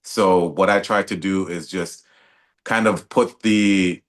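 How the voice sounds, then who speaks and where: A young man from Toronto, an actor guest talking in a relaxed, casual way with many fillers and restarts. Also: sits low, slow pace. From Toronto, Canada. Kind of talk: casual interview talk.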